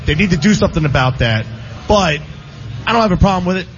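Man, fairly high voice, joking sports talk, muffled hall-like reverb. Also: expressive, quick pace. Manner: opinionated, joking. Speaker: radio show co-host. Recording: muffled, reverberant noisy room.

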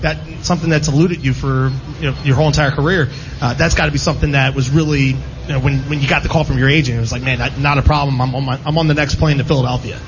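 A man's voice: medium pitch, steady tone, fast talker, hardly any pauses. A man hosting a casual sports radio interview, chatty and rambling in his questions. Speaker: sports radio show host. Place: live show at a casino. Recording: muffled lo-fi broadcast with background noise.

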